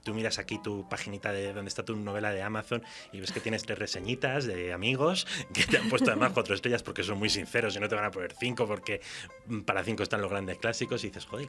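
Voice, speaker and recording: Male guest writer, Spain accent, bright forward voice on the lower side, informal and self-deprecating, faint music. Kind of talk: casual interview chat.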